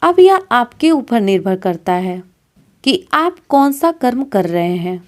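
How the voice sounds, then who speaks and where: A woman's voice: a little high, sing-song, takes it slowly. A woman narrating a scripted moral story as a storyteller, in a didactic manner. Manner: didactic, addressing listeners as friends.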